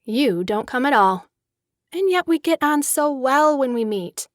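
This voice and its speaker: An elegant young society lady for an audiobook drama, with a slightly high, expressive voice. She is witty and coquettish, with a streak of discontent, captured close and dry. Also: measured pace, dry recording. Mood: playful.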